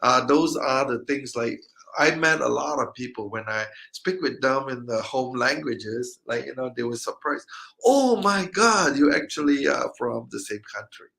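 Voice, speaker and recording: Gravelly upbeat man hosting. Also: medium pitch, lively intonation, sharp tone, low-quality recording. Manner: halting, many fillers, upbeat. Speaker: interview host. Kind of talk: casual interview chat.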